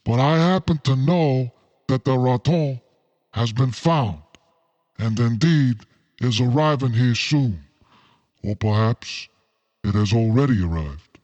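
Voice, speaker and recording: A man's voice: medium pitch, slow pace, pauses often, darkish tone, muffled recording, natural voice. A man playing a scheming crime boss in a noir radio play, formal and genteel with an edge of menace. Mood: smug, confiding. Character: suave scheming crime boss Fat Cat, noir villain.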